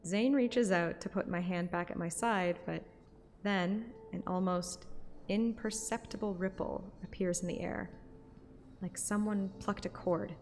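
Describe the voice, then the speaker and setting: A woman's voice: medium pitch, fairly even intonation, a little slow, darkish tone, slight background noise. A woman narrating a story like an audiobook, with casual streamer asides. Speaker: streamer reading a game story aloud.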